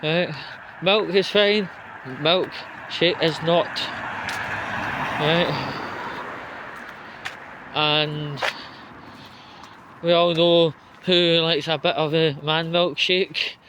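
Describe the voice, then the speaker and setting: Slow, halting man on a sardonic rant. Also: fairly high pitch, steady tone, background noise. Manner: sweary, sardonic, halting in short phrases. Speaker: vlogger giving political commentary. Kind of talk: off-the-cuff spoken rant.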